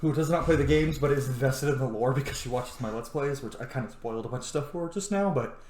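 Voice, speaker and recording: A man's voice: medium pitch, fast talker, darkish tone. A man doing casual, sweary gameplay reaction commentary as a let's play host, often exclaiming. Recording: roomy echo, clear noise.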